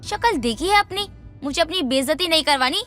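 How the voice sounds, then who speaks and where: Woman playing a shrill, haughty young wife. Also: lively intonation, fairly quick, a bit bright, soft background music. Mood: scornful, angry. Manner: snappish, contemptuous, bossy. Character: haughty, scornful young wife berating her husband. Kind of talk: Hindi animated story dialogue.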